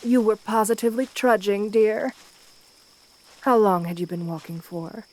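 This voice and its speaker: A woman's voice: mid-range voice, normal speed, darkish tone, close to an everyday voice, poor audio. A woman playing an assertive, flirtatious vampire countess in an ASMR roleplay, speaking to the listener in a genteel, doting way. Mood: gracious, charming. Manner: genteel, doting, uses pet names.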